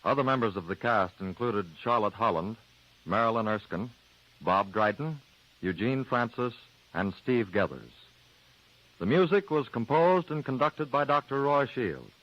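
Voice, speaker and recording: A man's voice: mid-range voice, animated, unhurried. Old time american radio announcer guy, lots of melody in his delivery, muffled 40s broadcast sound. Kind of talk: 1940s radio announcing, scripted credits. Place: radio studio. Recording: dull vintage 1940s radio broadcast.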